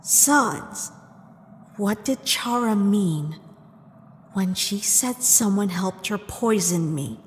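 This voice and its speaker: A woman's voice: medium pitch, lively intonation, takes it slowly, slight background noise. A woman acting a betrayed, indignant young princess in a dramatic comic dub, with an accusing, emphatic delivery. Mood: hurt, suspicious. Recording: long hall reverb under music.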